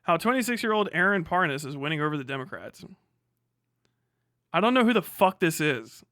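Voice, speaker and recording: A man's voice: fairly high pitch, big pitch swings, brisk, bright, forceful tone, no room sound, studio quality. An American man livestreaming a casual reaction, reading aloud and breaking off into incredulous, sweary asides. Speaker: livestream host.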